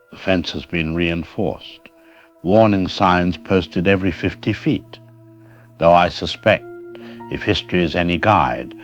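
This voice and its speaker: A man playing a droll, formal horror anthology host in an audio drama, dryly ironic and measured, with dull band-limited sound. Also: low-pitched, fairly bright tone, heavily acted. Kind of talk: scripted horror anthology hosting.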